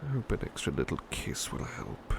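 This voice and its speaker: A man playing a devoted, tender butler in an intimate ASMR roleplay, speaking in a hushed, sleepy, halting voice that often slips into whispers. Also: background noise, lightly acted. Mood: relieved, affectionate. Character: devoted, tender male butler comforting a beloved at bedtime.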